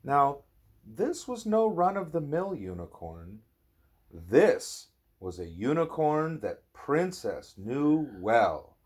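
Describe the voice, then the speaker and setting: A man narrates a children's storybook in an animated, fatherly read-aloud style. His pitch moves up and down a lot while the pace stays slow, with dramatic pauses for the listener.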